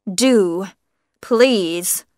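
A woman voicing a brisk, dismissive male police sergeant in a storybook reading. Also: mid-range voice, a little mellow, no echo, clean studio sound.

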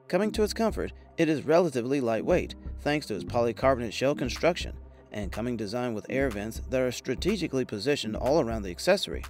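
A man delivers scripted narration for a product roundup. His voice sits at a medium pitch, and the read is measured and promotional. Music plays behind him. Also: darkish tone. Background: background music.

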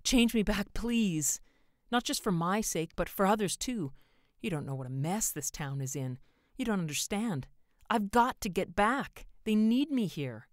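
A woman's voice: low voice, animated, normal speed, no room sound, studio quality. A woman acts out a shaken, unhappy man in a storybook reading. The delivery is earnest and desperate, that of a man who badly wants help. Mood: desperate, pleading. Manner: gasping, pleading.

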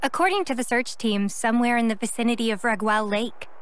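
Formal, level-headed woman operator, acted, higher-pitched. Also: fairly quick, clear, resonant tone, close to an everyday voice. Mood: composed. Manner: formal, precise. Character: level-headed female operator advising caution. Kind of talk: video game cutscene acting.

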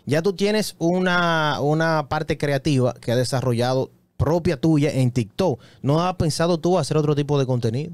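A man in his thirties co-hosting a casual radio interview, lively and joking, often repeating words, on a studio boom mic. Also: normal speed, hardly any pauses, no room sound. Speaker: radio show co-host. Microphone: studio mic on boom arm.

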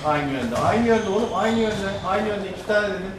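A male basketball coach calling out short training commands and quick praise in an indoor gym. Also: high-pitched, expressive, over loud music. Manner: short barked commands, encouraging. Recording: distant, echoey gym on camera mic, noisy.

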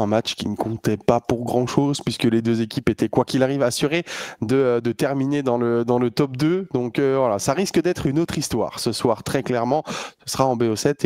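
A man's voice: mid-range voice, dry recording, studio quality. Chatty French-speaking man casting esports. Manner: relaxed, chatty, many fillers and restarts. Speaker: esports caster. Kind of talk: esports commentary and player interview.

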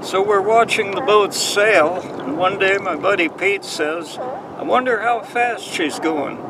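Man in his sixties, fairly high-pitched gravelly voice, folksy host, relaxed explaining, faint background noise. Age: 60s. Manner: unhurried, folksy storytelling. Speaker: host of a model sailing channel. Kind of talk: relaxed instructional narration to camera. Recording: distant mic, faint outdoor noise.

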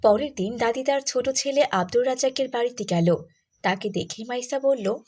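Female storyteller for children's cartoon, high-pitched, scripted narration. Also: moderate pace, a little mellow.

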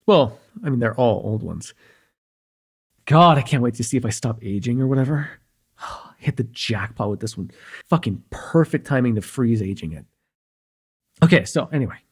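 Man acting a breathy, cocky young male vampire. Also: medium pitch, full character voice. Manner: rambling, playful, slangy, hesitant asides. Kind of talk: immersive ASMR roleplay acting, casual and conversational.

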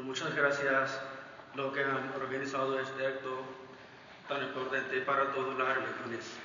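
An older man giving a slow, measured formal speech at an interfaith conference, seated at a panel table in a large wood-panelled hall. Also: fairly high pitch, pushed and bright, slight background noise. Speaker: president of a Sikh association. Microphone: table microphone, recorded by far camera. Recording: echoey hall from far off, dull sound.

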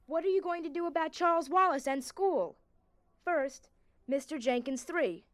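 A woman's voice: high-pitched, expressive, normal speed, dry recording, clean studio sound. A woman playing an earnest, determined young schoolgirl, speaking directly, in an audio drama. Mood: resolute.

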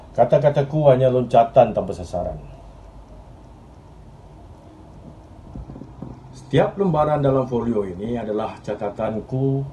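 A man acting an earnest, restless journalist in a dramatic stage monologue, speaking in deliberate literary phrases with pauses. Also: on the low side, mellow, highs cut off, a little hiss or hum, natural voice. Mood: confiding, troubled. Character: earnest, restless male journalist reminiscing about his admired mentor. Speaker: stage actor. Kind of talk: theatrical monologue in Malay drama.

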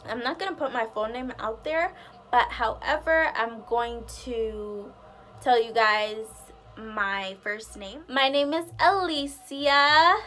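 Young woman, higher-pitched chatty voice. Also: takes it slowly, a bit bright. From Guyana and the United States, raised partly in Maryland. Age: late teens to about twenty. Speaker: vlogger. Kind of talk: casual, chatty talk to camera. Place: indoor room at home with curtains.

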